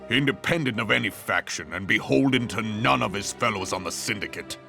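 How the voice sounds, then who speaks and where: An actor playing a stern, seasoned male general who speaks gravely in formal, old-fashioned phrasing, in a game cutscene. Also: lowish voice, a little fast, pushed and bright. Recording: music score underneath.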